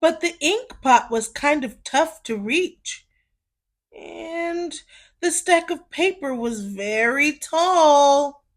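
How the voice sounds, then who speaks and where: A woman reads a children's story aloud, narrating expressively and deliberately for young listeners. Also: high-pitched, expressive.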